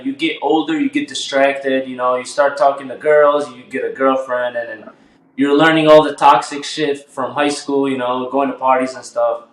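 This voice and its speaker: A man's voice: a little high, reverberant, rough recording. A man in his twenties from Albania, telling his life story in a laid-back podcast chat. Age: 20s. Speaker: guest artist.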